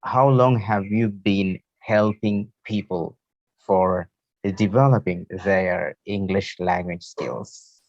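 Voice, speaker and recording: Muffled, low-voiced Indian man hosting. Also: slow, a little mellow, no room sound. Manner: polite, formal wording. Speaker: talk show host. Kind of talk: formal interview questioning. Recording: muffled, lo-fi internet call.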